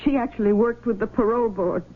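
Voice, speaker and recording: A woman's voice: fairly high pitch, fast talker, close to an everyday voice. An actress playing a near-hysterical middle-aged mother, fretful and insistent, in a 1970s radio drama. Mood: anxious, insistent. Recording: narrow noisy vintage radio broadcast.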